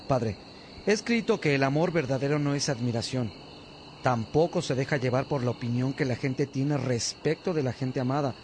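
An actor playing a diligent, respectful monk who works as a scribe in a religious radio drama, explaining things carefully. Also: male voice, mid-range voice, a little mellow, slight background noise, lightly acted. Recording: faint music behind the voice.

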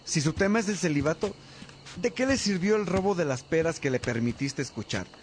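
A man playing a supportive, brotherly monk in a radio drama, with a gentle, attentive manner. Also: on the high side, expressive, brisk, slight background noise, close to an everyday voice. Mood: questioning.

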